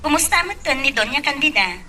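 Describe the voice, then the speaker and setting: Bright, piercing, lively confident woman played by actress. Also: high voice, a little fast, recorded from a distance, a little hiss or hum. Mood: coy, inquisitive. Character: cheerful, sly, confident woman greeting her friend. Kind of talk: radio drama acting.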